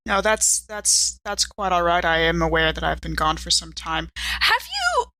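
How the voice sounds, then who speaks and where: Lady roleplaying in a tabletop game, very proper wording, bright lively voice, dry close sound. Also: low-pitched, normal speed, no echo. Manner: polite, formal wording. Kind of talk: in-character improvised roleplay.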